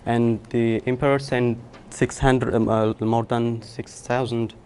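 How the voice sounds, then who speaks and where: A young man from Ethiopia giving a public lecture in a hall, pausing often with uh and restarting sentences. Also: mid-range voice, flat delivery, darkish tone, a little hiss or hum. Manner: halting, many filler uhs and restarts. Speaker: guest lecturer.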